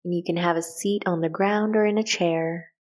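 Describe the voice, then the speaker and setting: A woman leading a guided meditation in a calm, gentle, unhurried way with short measured phrases. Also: fairly low pitch. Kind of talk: guided meditation, soft instructional narration.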